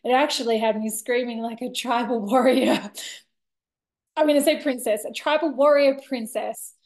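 A woman in her thirties giving a candid, reflective personal monologue to camera, expressive, with deliberate pauses and dramatic emphasis. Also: a little high. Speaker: vlogger sharing a personal story. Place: bright indoor room with large windows. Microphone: laptop webcam at desk distance.

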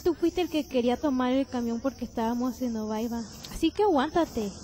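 Casting: woman as grumbling, irritable teen big sister for an anime dub, high voice, faint noise behind. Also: a little fast, dark tone, lightly acted. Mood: irritated. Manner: grumbling, curt. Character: sullen, irritable young teenage girl, big sister. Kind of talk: anime fan dub acting.

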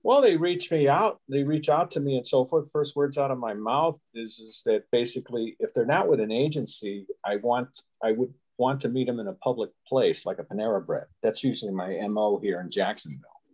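Casting: man in his 60s, from Chicago, mid-pitched, relaxed explainer with rambling asides, webcam video call from home. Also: brisk, breathy edge, poor audio. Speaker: guest photographer. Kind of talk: casual interview answers. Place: small room at home with window blinds.

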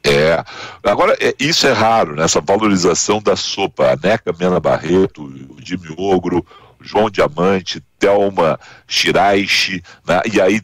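A man in his thirties with a Gaúcho accent hosting a radio interview, chatty and animated, full of tag questions. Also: low voice, moderate pace, no room sound. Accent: Gaúcho Portuguese. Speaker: radio host. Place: radio studio. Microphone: studio mic with headphones.

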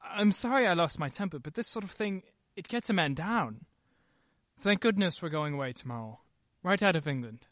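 A woman's voice: on the low side, animated, moderate pace, dry recording, clean studio sound, lightly acted. A woman playing a short-tempered, rattled husband in an old-time radio play. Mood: shaken.

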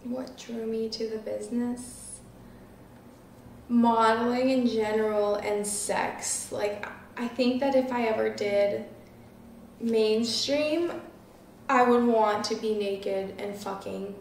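A woman's voice: on the high side, fairly even intonation, slow, gravelly. A nineteen-year-old woman chatting casually as an interview guest, peppering her talk with filler words. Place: small living room, seated on a couch. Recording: roomy, distant mic, faint noise.

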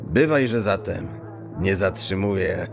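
An actor playing a scheming, chummy street thief who talks in quirky, halting, mock-archaic phrases. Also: male voice, deep voice, vocal fry, over loud music. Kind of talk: acted fantasy audio drama dialogue.

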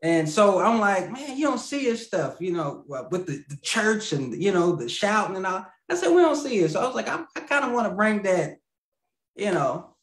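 A man in his thirties chatting as a podcast guest over a video call, rambling and laughing, often trailing off quietly. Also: high voice, very animated, moderate pace, reverberant. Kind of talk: casual interview chat over video call. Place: indoor home room with lamp. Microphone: video call, webcam.

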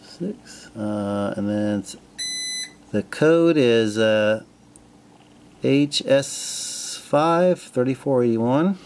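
A man casually narrating his radio restoration at a home workbench, relaxed and full of fillers as he thinks aloud. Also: mid-range voice, extremely slow, slight background noise. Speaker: hobbyist restoring a vintage radio.

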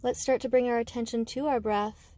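A woman guiding a meditation with slow, soothing instruction, speaking gently and unhurriedly in short phrases with pauses. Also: on the high side, fairly even intonation. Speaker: meditation guide.

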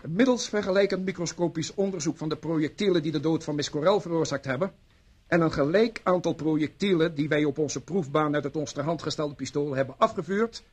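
An actor playing a pedantic ballistics expert in a radio play, formal, precise and stilted. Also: male voice, on the high side, darkish tone, husky.

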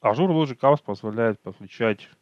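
A man's voice: medium pitch, normal speed, no room sound, highs cut off. A man teaching an online technical training over slides, talking unhurriedly, with hesitations and self-corrections.

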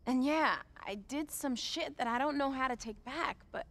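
A woman playing a defiant, earnest American teenage girl in a naturalistic video game cutscene, quick to defend herself. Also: sits high, a bit bright. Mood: earnest, defensive.

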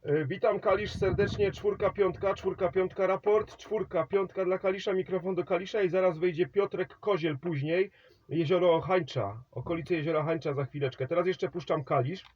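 A man's voice: high voice, steady tone, normal speed, muffled recording, background noise. Chatty man calling over CB radio. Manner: rambling, calls signal reports and hands over the mic. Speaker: CB radio operator.

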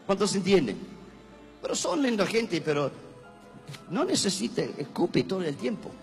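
A man preaching as a guest evangelist on a hall stage with a handheld mic, shouting and calling for responses from the crowd. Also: high-pitched, big pitch swings, normal speed. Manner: shouting, calls for audience responses. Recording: hall reverb with music.

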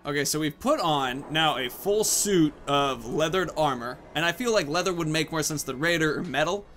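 Male gaming host, thirties, slightly high voice, casual play-by-play reasoning over faint music. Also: expressive, moderate pace, fairly bright tone. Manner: thinking aloud, explaining choices. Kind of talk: casual let's play commentary. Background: game music.

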